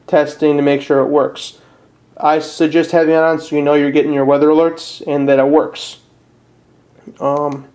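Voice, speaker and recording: A man giving a casual step-by-step product tutorial in a plain, unpolished, slightly halting way. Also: fairly high pitch, darkish tone. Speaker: presenter of a how-to video.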